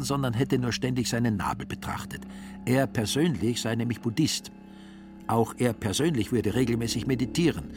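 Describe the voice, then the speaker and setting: A man narrating a literary radio drama as a scripted storyteller. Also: on the low side.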